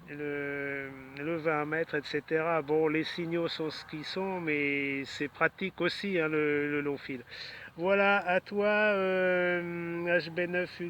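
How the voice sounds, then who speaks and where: Bright high-voiced man on radio. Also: lo-fi sound. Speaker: amateur radio operator. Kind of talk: casual amateur radio chat. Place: outdoors in a wooded park. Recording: faint background noise, field recording.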